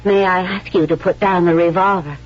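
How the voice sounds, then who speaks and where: A woman's voice: on the low side, fairly even intonation, a little fast, dull audio, close to an everyday voice. A woman playing a poised, cunning young actress in a genteel, theatrical manner in a 1970s radio drama. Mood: coolly shrewd. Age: young adult. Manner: theatrical, genteel, coolly self-assured. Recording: band-limited vintage radio broadcast with music.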